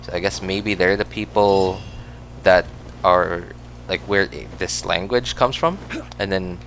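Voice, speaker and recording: Rambling Filipino man, low voice. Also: fairly bright tone, a little hiss or hum, poor audio. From Philippines. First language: Cebuano. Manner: rambling, full of fillers and self-corrections. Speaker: gaming streamer. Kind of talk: casual chat while playing a game.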